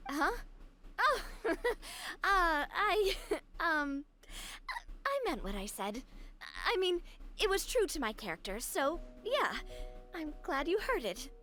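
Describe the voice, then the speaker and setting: A woman playing a bubbly, teasing young woman in an anime video game, giggly and expressive. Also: very high-pitched, takes it slowly, faint music behind, exaggerated voice. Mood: bashful.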